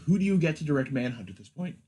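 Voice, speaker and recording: A man's voice: on the high side, big pitch swings, racing, dark tone. A man speaking casually as a podcast host, steering an informal discussion.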